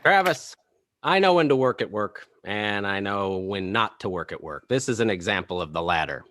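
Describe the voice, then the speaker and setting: Man playing a wisecracking suburban dad with animated pitch. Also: moderate pace, a little hiss or hum. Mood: cheeky. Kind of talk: comedy table read acting.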